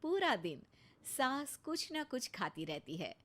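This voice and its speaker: A woman's voice: high voice, sing-song, takes it slowly, fairly bright tone. A woman narrator reading a scripted cartoon family story.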